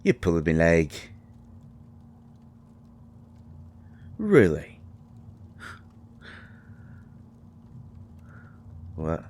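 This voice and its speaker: Man playing a very deep, slow-talking folksy man. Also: slight background noise. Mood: surprised. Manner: halting, stammering, folksy colloquial speech. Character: gruff, folksy, flustered man comforting a vampire guest. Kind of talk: roleplay audio drama acting.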